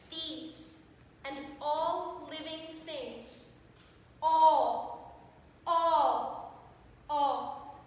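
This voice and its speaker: Very high, slow, solemn universal soul. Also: female voice, a bit bright, full character voice. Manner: declamatory, mournful, drawn-out repetitions. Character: young actress solemnly playing the lone universal soul. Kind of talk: theatrical stage monologue. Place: theatre stage. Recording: echoey reverberant theatre, faint hiss.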